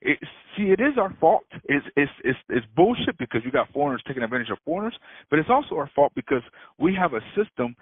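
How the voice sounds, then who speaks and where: Animated cursing man on a phone line. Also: a little high, fast, husky, no echo. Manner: animated, swears a lot, laughs often. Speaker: freight broker. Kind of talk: heated casual phone talk. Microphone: phone call.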